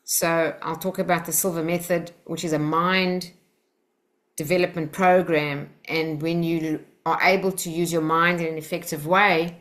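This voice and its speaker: South African woman, webinar instructor, low-ish voice, encouraging and direct, video call audio. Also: little pitch movement, unhurried, fairly bright tone. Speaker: Silva Method instructor.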